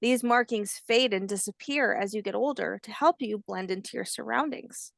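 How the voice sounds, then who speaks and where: A woman voicing a kindly, patient old grandmother alligator, telling facts in a gentle storybook manner. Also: medium pitch, normal speed, gravelly, no echo, clean studio sound. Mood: patient, informative. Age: older.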